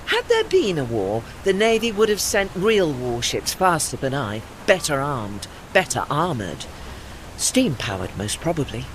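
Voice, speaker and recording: A posh-mannered sad old sailing warship, wistful and gentle, swoopy intonation, radio drama with engine noise behind. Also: male voice, on the high side, huge pitch swings, a little slow, heavily acted, rough recording. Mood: modest, wry. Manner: genteel, formal, wistful. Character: refined, melancholy old retired warship with gentle dignity. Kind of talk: audio play acting.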